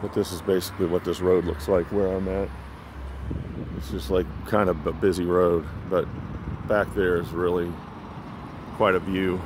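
A man giving casual, rambling, low-key vlog narration on a phone while out walking. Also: little pitch movement, moderate pace. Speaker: vlogger. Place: outdoors on a sidewalk beside a busy road. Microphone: handheld phone. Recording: outdoor phone recording with traffic noise. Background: passing cars, street ambience.

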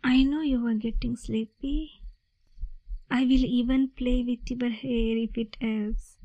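A woman voicing a sweet, gentle vampire in a soft, hushed, reassuring ASMR roleplay, coaxing her human prey. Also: a little high, fairly even intonation, slow, no echo, highs cut off. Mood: soothing.

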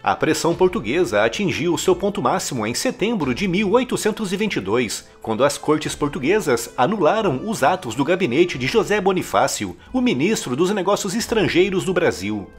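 A man reading a scripted history documentary narration in a measured, emphatic storytelling manner. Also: fairly high pitch, very animated, soft background music.

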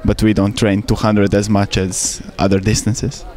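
A man's voice: fairly low pitch, little pitch movement, normal speed, a little mellow. A young man from Slovenia, speaking English as a second language, answering interview questions outdoors into a handheld mic with some fillers and restarts. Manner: careful non-native English with fillers and restarts. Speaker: canoe sprint athlete. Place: outdoors by a regatta course.

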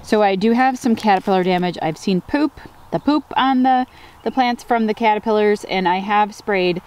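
A woman's voice: mid-range voice, normal speed, darkish tone, clean tone. Chatty woman touring her flower farm outdoors. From Upstate New York. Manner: chatty and upbeat, addresses viewers as guys. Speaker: flower farmer. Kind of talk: casual farm tour vlog. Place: outdoors in open farm field.

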